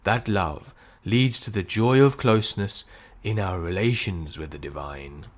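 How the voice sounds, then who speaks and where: A man reading spiritual prose as an audiobook narrator, phrasing it deliberately with frequent pauses. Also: on the low side. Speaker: audiobook reader.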